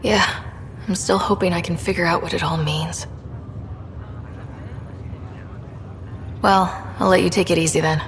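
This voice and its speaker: A woman's voice: fairly low pitch, steady tone, brisk, close to an everyday voice. A woman playing an earnest, caring young explorer in a sci-fi video game, talking in a subdued, conversational way. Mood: reassuring. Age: young adult. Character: earnest, caring young female space explorer, twin sister. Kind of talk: naturalistic video game dialogue acting. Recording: reverberant room, faint ambient noise.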